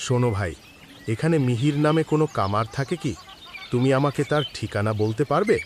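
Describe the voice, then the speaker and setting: A man's voice: mid-range voice, fairly quick, heavy background noise, close to an everyday voice. An actor playing a courteous, generous king in a cartoon, with a declamatory way of speaking. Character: courteous, generous male king of a neighboring kingdom. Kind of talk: cartoon voice acting.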